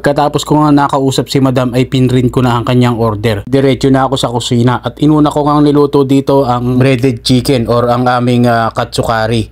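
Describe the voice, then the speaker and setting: Flat-toned Filipino man narrating a cooking vlog. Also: medium pitch, brisk, few pauses. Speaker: cook and vlogger. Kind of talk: casual voice-over narration of cooking steps.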